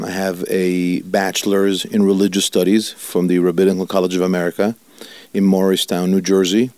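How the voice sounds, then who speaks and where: A man in his fifties, an Italian-born rabbi whose first language is Italian, giving earnest, measured interview answers and drawing out key words slowly. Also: low-pitched, a little mellow, no echo. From Italy. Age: 50s. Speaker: rabbi, guest.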